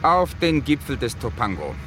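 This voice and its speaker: An actor playing a wealthy, shaken Hollywood man working out a murder in a German radio crime play, with halting, theatrical delivery. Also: medium pitch, sing-song, a bit bright, a little hiss or hum, low-quality recording. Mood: bitterly accusing.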